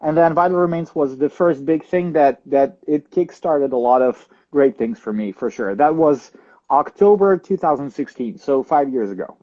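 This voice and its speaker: A young man from Ukraine answering interview questions, thoughtful and halting, with many fillers and restarts. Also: fairly high pitch, dull audio. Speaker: guest drummer.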